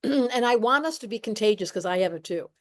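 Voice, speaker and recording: Playful, animated woman podcast host. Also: fairly high pitch, a little fast. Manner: animated, playful, preachy, acts out voices in her stories. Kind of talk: casual podcast conversation.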